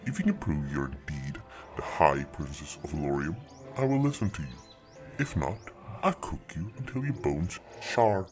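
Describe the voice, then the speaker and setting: A very deep-voiced, haughty old dragon guarding his realm in a fantasy audio drama. His delivery is grand and menacing, swinging widely in pitch over a music bed. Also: sing-song, normal speed, a little mellow. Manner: grandiose, archaic, threatening speech. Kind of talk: fantasy audio roleplay acting.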